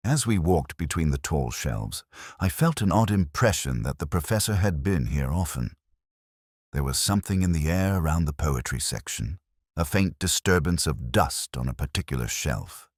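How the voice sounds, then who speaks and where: A man with an extremely deep voice narrates a detective mystery in a measured, reflective way. His pitch rises and falls freely through the scripted first-person storytelling, and the sound is close and dry. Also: breathy edge, no echo. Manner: measured, reflective, literary phrasing.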